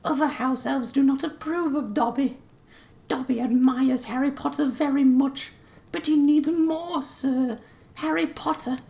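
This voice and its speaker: A man voicing an eager, pleading male house elf with a squeaky, breathless voice who speaks of himself in the third person. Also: steady tone, a little slow, gravelly, darkish tone, full character voice. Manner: talks of himself in third person, says sir often.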